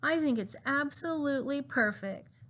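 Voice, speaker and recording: Casting: reader playing a dreamy, kindly lady music teacher in a storybook, high voice, drawn-out words, some background noise. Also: lively intonation, a bit bright. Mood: gently proud.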